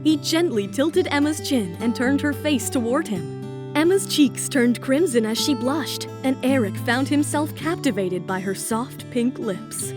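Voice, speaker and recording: A woman's voice: high-pitched, animated, measured pace, music in the background. A woman narrating a scripted romance audio drama.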